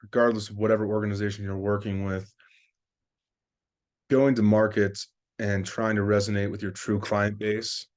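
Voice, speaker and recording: Man, fairly low voice, very even intonation, earnest, rambling, sales professional, informal review. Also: moderate pace. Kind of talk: informal spoken review, business talk.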